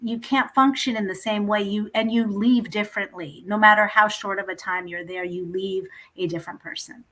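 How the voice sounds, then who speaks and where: A woman from Ethiopia, a singer guest, speaking warmly and reflectively in a casual interview over a video call. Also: mid-range voice, fairly even intonation, normal speed, few pauses. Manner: warm, reflective, laughs easily.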